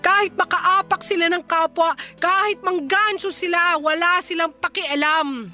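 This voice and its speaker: A woman's voice: extremely high pitch, fairly even intonation, a little slow, sharp tone, faint music behind, full character voice. A woman playing a wealthy, betrayed employer in a radio drama, stern, bitter and reproachful toward someone distrusted. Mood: bitter hurt. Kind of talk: radio drama acting in Tagalog.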